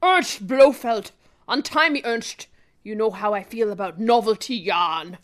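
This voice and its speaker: Woman playing a suave, melodramatic male spy, pitched down. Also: measured pace, natural voice. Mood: defiant. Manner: melodramatic, portentous. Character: James Bond spoof, suave dramatic male spy. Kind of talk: spoof spy radio play.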